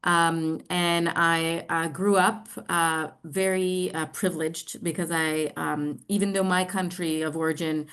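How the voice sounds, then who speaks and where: A middle-aged American woman from New York giving an online presentation, speaking haltingly with frequent pauses. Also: fairly low pitch, level tone, a bit bright, clear, resonant tone. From New York, United States. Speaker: social enterprise representative presenting.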